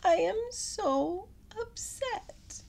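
A woman voicing a small, excitable young pig from a storybook, easily upset and given to dramatic wailing. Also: extremely high pitch, very slow, vocal fry, a little hiss or hum, pitched above the performer's own voice, full character voice. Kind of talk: storybook character voice.

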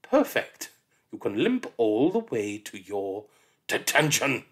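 A man voicing a cruel, furious elderly headmistress in an audiobook, with a shrill, bellowing delivery. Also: big pitch swings, slow, breathy edge, heavily acted. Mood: scornful. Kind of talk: audiobook character voice.

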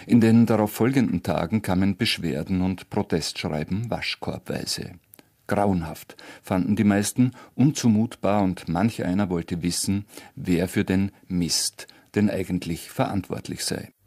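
A man's voice: deep voice, measured pace, a little mellow. A man presenting on radio in scripted narration.

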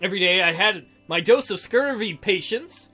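Male let's-play narrator, bright and high, theatrical reader with joking asides, faint music underneath. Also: lively intonation, normal speed. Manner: jokey, theatrical, breaks into silly mock voices. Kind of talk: reading a visual novel aloud with joking commentary. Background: game music.

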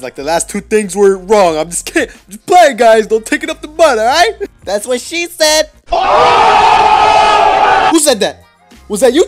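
A nineteen-year-old man from Mexico doing casual, rambling voice-over commentary on his mobile gameplay, excitable and full of jokes. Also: fairly high pitch. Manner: excitable, joking, rambling with lots of fillers. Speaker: mobile gaming YouTuber. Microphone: voice-over recorded apart from the gameplay.

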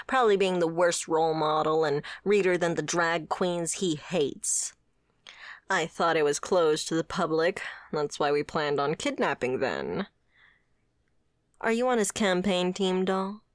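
Mafia boss played by a woman: wry, principled, flirtatious, lower female register, very dry close sound, ASMR roleplay. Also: no room sound, natural voice. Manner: sardonic, conversational, swearing. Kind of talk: scripted ASMR roleplay acting.

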